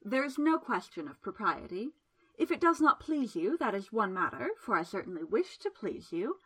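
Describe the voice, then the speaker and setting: A woman's voice: medium pitch, very animated, normal speed, husky, full character voice, low-quality recording. A woman voicing Miss Absalom, a teasing temptress. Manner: soft, coaxing and flirtatious. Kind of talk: audiobook character voice.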